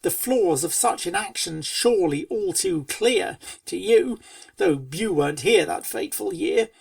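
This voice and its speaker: A woman reading scripted storybook narration in rhyming verse, with a theatrical, measured cadence. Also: on the low side, lively intonation, gravelly, darkish tone, no echo, recorded from a distance. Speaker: fan fiction reader and narrator.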